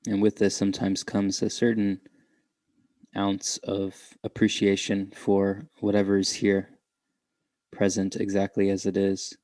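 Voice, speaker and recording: Very even, low-voiced male meditation guide. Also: no room sound. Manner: gentle, unhurried, with long pauses between short phrases.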